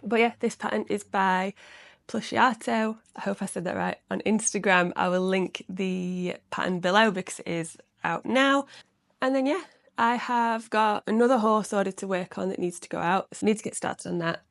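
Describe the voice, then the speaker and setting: Slightly croaky woman chatting casually. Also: mid-range voice, normal speed, dry recording, clean studio sound. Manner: chatty and rambling, lots of "like". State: slightly croaky from recent illness. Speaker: crochet vlogger. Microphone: close microphone.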